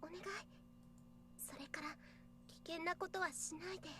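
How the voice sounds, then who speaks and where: Very high, earnest anime girl. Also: fairly even intonation, fast talker. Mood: worried, pleading. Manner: earnest, pleading, hesitant. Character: gentle, anxious, caring young childhood-friend girl. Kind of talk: Japanese visual novel voice acting.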